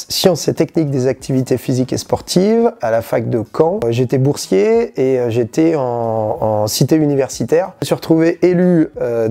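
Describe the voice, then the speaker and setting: Gravelly, hesitant man in his forties. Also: medium pitch, warm tone. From Normandy, France. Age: 40s. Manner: hesitant, many fillers and restarts. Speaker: parliamentary candidate and schoolteacher. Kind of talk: answering interview questions.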